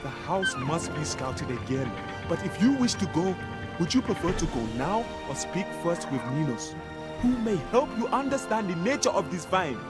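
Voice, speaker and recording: A man's voice: high-pitched, big pitch swings, moderate pace. A man playing a grim, embittered city guard in a fantasy video game, speaking in a brooding, earnest, dramatic way. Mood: grave, urgent. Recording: game music behind.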